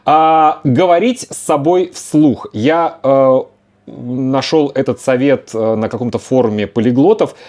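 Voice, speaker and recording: A man hosting a casual conversational podcast and teaching, speaking in a relaxed way and thinking aloud with drawn-out words. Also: medium pitch. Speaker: podcast host and Russian teacher.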